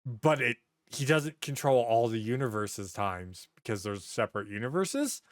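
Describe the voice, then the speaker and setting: A man's voice: mid-range voice, lively intonation, normal speed, no room sound, clean studio sound. A man chatting casually on a comedy podcast, given to exasperated, sweary rants. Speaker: podcast host.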